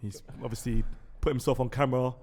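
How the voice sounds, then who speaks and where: A man, hosting a casual chat show and bursting into loud laughs. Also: mid-range voice, fairly even intonation, quick pace, in a large hall. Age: 20s. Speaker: podcast host.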